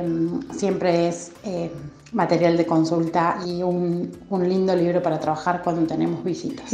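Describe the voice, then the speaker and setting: A woman, a librarian, speaking in a measured way with frequent hesitations about her library. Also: on the low side, a little mellow, roomy sound. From Argentina. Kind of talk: interview testimony.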